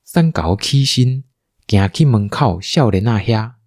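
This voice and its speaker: Low, very animated Taiwanese male narrator. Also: measured pace, no echo, studio quality. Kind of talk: animated storytelling narration for radio drama.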